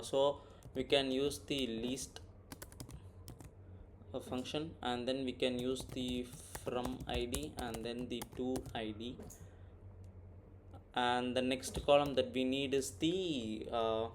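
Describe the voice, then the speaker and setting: A man walking through an informal screen-recorded coding tutorial, thinking aloud and often checking in with "right?". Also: medium pitch. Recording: clear background noise, keyboard typing. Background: computer keyboard typing, background noise.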